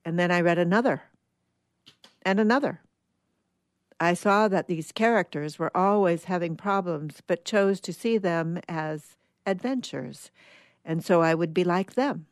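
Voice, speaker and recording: A woman plays an earnest survivor in a dramatic story, reflective and hopeful. The voice is lowish and very unhurried, recorded dry. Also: dry recording, lightly acted. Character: reflective, hopeful survivor about to leave for Mars. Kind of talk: dramatic short story reading.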